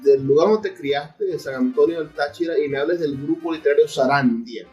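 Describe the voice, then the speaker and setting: A man's voice: on the high side, a little fast, dark tone. A man with a Venezuelan accent hosting a conversational literary interview on a radio program. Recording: distant, muffled mic with music.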